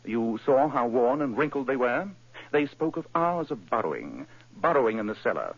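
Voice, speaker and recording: An actor playing a brisk, precise, coolly confident male detective in a 1940s radio drama, explaining his deductions crisply. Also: mid-range voice, very animated. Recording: dull old radio recording.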